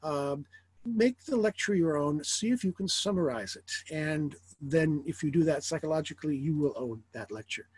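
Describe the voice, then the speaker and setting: A man's voice: a little high, normal speed, a little mellow, slightly rough, dry recording. An older man, a college professor, giving a rambling recorded lecture over slides from his home study on a webcam mic, with dry asides.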